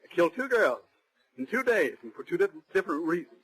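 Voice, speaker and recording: An actor playing a volatile, boastful, desperate killer in a 1940s radio crime drama, threatening and melodramatic. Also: male voice, high voice, very animated, quick pace, fairly bright tone, husky, exaggerated voice, poor audio. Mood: cold, bitter. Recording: restored 1940s radio broadcast.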